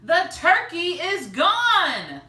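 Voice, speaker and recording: An emphatic, fretful family dad as a woman reads him from a storybook. The voice is bright and dramatic, with roomy echo around it. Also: extremely high pitch, huge pitch swings, drawn-out words, voice pushed up, heavily acted. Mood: alarmed. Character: fretful family father, mister of the house. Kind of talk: children's storybook read-aloud. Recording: roomy, distant mic, faint noise.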